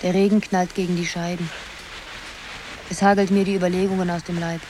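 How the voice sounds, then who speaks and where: A woman's voice: fairly low pitch, little pitch movement, brisk, a little mellow, slight background noise, close to an everyday voice, poor audio. An actress playing a dreamy young woman absorbed in a computer game, musing half to herself in a radio drama. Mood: frustrated.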